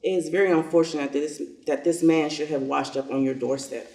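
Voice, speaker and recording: A man playing a self-interested, lordly old general in a reader's theater piece, pompous and deliberate. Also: fairly high pitch, fairly even intonation, darkish tone, natural voice. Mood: coolly scheming. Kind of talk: reader's theater stage reading. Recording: echoey room, distant mic.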